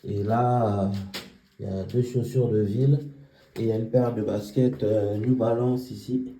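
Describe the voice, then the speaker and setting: A young man giving a casual tour of his apartment, pausing often with fillers as he walks around. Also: fairly low pitch, fairly even intonation, mellow, echoey room, slight background noise. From Congolese heritage, living near Paris. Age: young adult. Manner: hesitant, many filler pauses. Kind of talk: casual home tour vlog. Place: small apartment indoors.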